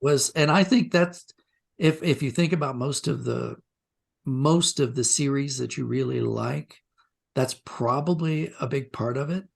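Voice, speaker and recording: A man's voice: medium pitch, unhurried, creaky, breathy edge. A man being interviewed as a writer, talking in a reflective, discursive way and often rephrasing mid-sentence. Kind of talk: conversational interview, reflective storytelling.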